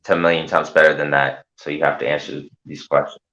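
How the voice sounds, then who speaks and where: A man's voice: extremely low pitch, level tone, brisk, bright, forceful tone, lo-fi sound. A man hosting a casual chat show, stammering and mock-earnest. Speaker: podcast host.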